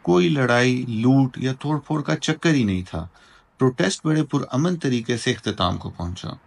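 A man narrating a travel vlog in a calm, informative scripted voice-over. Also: medium pitch, normal speed, vocal fry, a little hiss or hum. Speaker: travel vlogger. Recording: voice-over with loud background music.

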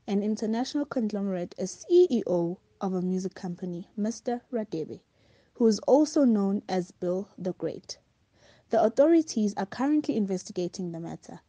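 A woman's voice: medium pitch, mellow, dry recording. A woman playing a TV news reporter in an audio drama, with a formal newsreader delivery. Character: female TV news reporter announcing breaking news.

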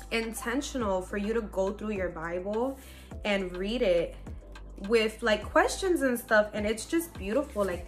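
A woman about thirty chats to her camera from her bedroom, upbeat and full of fillers. Her voice sits at an ordinary middle pitch. Soft music plays faintly underneath. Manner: chatty, upbeat, warm toward viewers, many fillers. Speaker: faith lifestyle vlogger. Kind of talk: casual chat to camera. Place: bedroom at home, indoors.

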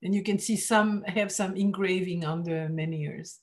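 Woman giving a relaxed slide lecture. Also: on the low side. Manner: explanatory, frequent "uh" pauses, trailing off at phrase ends. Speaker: art history lecturer. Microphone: screen-share talk, webcam in corner.

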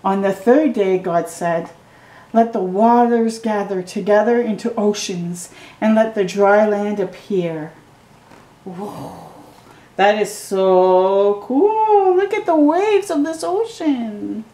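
A middle-aged woman reading a picture book aloud to children at home, slowly and gently, with excited asides to the viewer. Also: mid-range voice, echoey room. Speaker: storytime reader. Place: living room at home.

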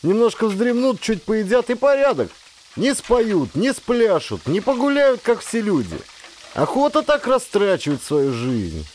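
A man's voice: high-pitched, wildly swooping pitch, a little slow, darkish tone, slight background noise, pitched above the performer's own voice, full character voice. A man voicing a talkative, simple-hearted sailor turned cook in an audiobook, complaining with folksy humor and self-pity. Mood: wry grumbling. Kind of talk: character dialogue in an audiobook.

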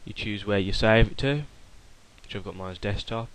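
A young man casually talking through a software tutorial while recording his screen, rambling with fillers and restarts. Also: on the low side, normal speed, low-quality recording.

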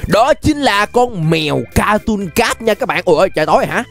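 High-pitched young man hosting gameplay, music behind. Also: animated, fairly quick, a bit bright. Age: young adult. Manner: playful, chatty, addresses viewers directly. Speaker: gaming video host. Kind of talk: animated gameplay commentary to fans. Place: indoor gaming setup.

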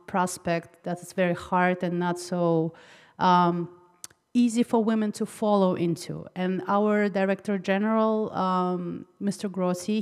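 A young woman from Kazakhstan speaking earnestly and talkatively off the cuff into a handheld microphone from the audience. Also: fairly low pitch. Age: young adult. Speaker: audience member asking a question. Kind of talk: impromptu, personal audience question. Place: large hall, seated in the audience. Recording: reverberant hall, handheld mic.

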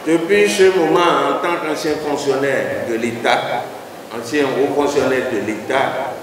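A middle-aged man speaks slowly and emphatically, hammering his points with repetition, as a politician addressing the press. His voice rings through a big echoey hall with a long reverb tail. The noise of a rally crowd sits behind him. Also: medium pitch, recorded from a distance. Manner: slow, deliberate, emphatic with repetitions. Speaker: acting city mayor and party leader. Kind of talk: formal political statement to press. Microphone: handheld reporter microphones held close.

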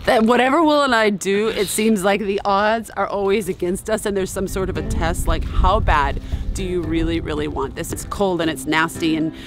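A woman's voice: medium pitch, normal speed, over music. A middle-aged woman vlogging on a sailboat, speaking upbeat and directly to her viewers about the day's sail. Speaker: vlogger, day skipper. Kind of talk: casual vlog chat. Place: on a sailboat in a marina. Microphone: camera at arm's length.

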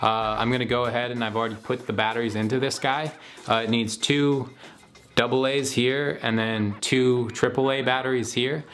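A man's voice: medium pitch, steady tone, normal speed, fairly bright tone. Young man reviewing a product, casual and laid-back. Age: young adult. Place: small indoor home studio room. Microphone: camera microphone at about arm's length or a little more.

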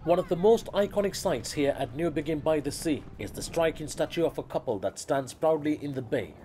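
A man delivers scripted voice-over narration for a family travel vlog in a warm, mellow tone. His voice sits slightly high, and his delivery is polished and even. Soft music plays faintly underneath. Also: brisk.

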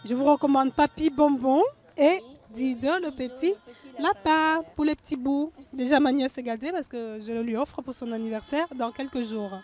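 A woman's voice: high voice, normal speed, darkish tone, faint music behind. A young woman interviewer, upbeat and chatty, speaking outdoors on a handheld mic. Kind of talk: web TV interview. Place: outdoor festival under a tent.

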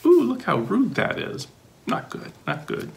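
Middle-aged male storybook reader, expressive and playful, swooping intonation with noticeable vocal fry. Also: high voice, measured pace, background noise. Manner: expressive, sing-song questions with playful commentary. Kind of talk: animated children's picture book read-aloud with asides.

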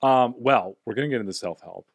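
A young man hosting a comedy podcast in a small home studio, talking on a boom mic in a playful, joking way. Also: a little fast, vocal fry, no room sound, dull audio. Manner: playful, jokey, bursts of loud laughter. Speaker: podcast host. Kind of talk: casual comedic chat. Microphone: studio mic on boom arm.

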